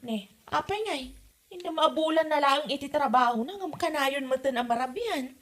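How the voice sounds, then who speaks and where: A woman acting as a nagging middle-aged mother with a bright, piercing, fairly high voice. She has an Ilocano Filipino accent and scolds and questions like a suspicious parent in a radio comedy drama. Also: animated, moderate pace. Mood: suspicious, complaining.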